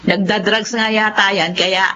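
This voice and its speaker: An actress playing a protective, weary middle-aged aunt in a Filipino radio drama, stern but soft-hearted. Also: female voice, medium pitch, steady tone, moderate pace, slight background noise, lightly acted. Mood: weary, sympathetic. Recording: dull radio drama audio.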